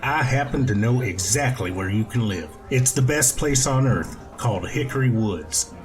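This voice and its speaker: A man acts out a happy extrovert from a story, chatting with strangers at a bar. The manner is chummy and joking, at an ordinary male pitch. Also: animated, moderate pace, lightly acted.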